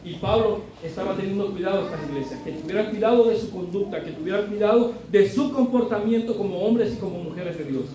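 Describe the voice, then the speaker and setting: Preacher man, high voice, echoey hall. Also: fast, few pauses, a crowd in the background. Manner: conversational, often adds tag questions; builds to loud emphatic exhortation. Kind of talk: sermon. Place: church hall. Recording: echoey hall from far off, faint ambient noise.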